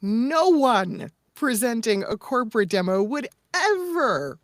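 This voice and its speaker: A woman's voice: a little high, sing-song, slow, dry recording, clean studio sound. A woman co-hosting a casual podcast discussion, explaining things emphatically and reasoning through examples. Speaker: podcast co-host and voice-over coach.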